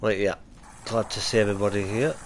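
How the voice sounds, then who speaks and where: A man's voice: steady tone, fast, a little hiss or hum. A man hosting a casual gaming livestream, nasal and stuffy from a cold, chatting easily with viewers. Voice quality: low-key, chatty and easygoing. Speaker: livestream host.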